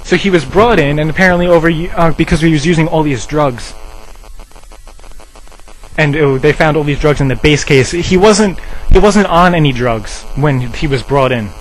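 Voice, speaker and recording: A man's voice: moderate pace, lightly acted. A man playing an officious, defensive drug treatment center staffer in a rambling, insistent improvised prank phone call. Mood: officious, explaining. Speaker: prank caller. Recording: noisy low-quality cell phone call, hum.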